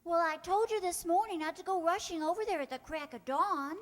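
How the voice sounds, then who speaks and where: A woman's voice: extremely high pitch, brisk, long reverb tail. A woman playing a sensible but impatient young niece, coaxing her family in old-time radio comedy style. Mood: coaxing, brisk.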